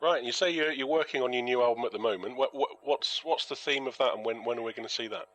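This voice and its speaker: Radio interviewer: British man, very quick pace, casual, slightly hesitant, repeats words as he builds questions. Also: medium pitch, fairly bright tone.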